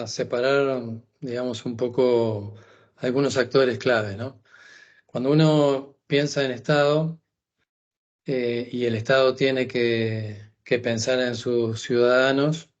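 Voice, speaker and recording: A man explaining cybersecurity threats in a masterclass, often pausing on "este". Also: medium pitch. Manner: explanatory, frequent "este" fillers. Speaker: cybersecurity lecturer. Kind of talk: masterclass lecture. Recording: dull lo-fi recording.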